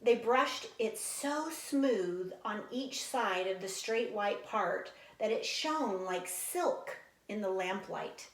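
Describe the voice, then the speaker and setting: A woman's voice: mid-range voice, slow, hardly any pauses. Woman read-aloud teacher, mid-range voice, casual explanatory asides between story passages, roomy echoing sound. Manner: explains to young listeners, animated sound imitations. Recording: echoey room.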